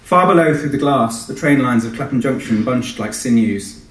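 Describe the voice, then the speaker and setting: A man's voice: mid-range voice, moderate pace. A man with a British accent, an author giving a live literary reading. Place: live event in a hall. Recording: echoey hall, distant microphone.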